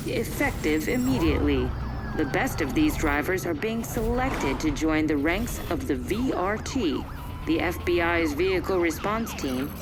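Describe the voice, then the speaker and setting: A composed news reporter in a video game cutscene, with a slightly low voice. She reads formally and seriously over clearly audible music. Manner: measured, serious.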